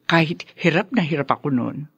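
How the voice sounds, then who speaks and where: A man's voice: fairly high pitch, animated, a little fast, fairly bright tone, husky, no room sound, highs cut off, exaggerated voice. A Filipino man playing a devoted, long-suffering parent, earnest and emotional, in a radio drama. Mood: earnest, tender.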